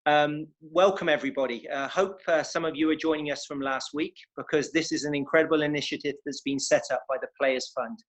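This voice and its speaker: A man's voice: fairly high pitch, steady tone, a little fast, few pauses, low-quality recording. A man from England's West Country with a West Country accent, hosting a fundraising interview evening with enthusiasm. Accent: West Country English. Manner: enthusiastic, welcoming. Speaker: interviewer and host.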